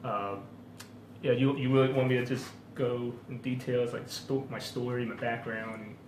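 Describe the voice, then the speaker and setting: A man's voice: mid-range voice, steady tone, normal speed, reverberant, a little hiss or hum. A man in his thirties, from Hong Kong and raised in Philadelphia, telling his story frankly and easily as an interview guest. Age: 30s. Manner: easygoing, frank storytelling with "you know". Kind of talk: casual interview.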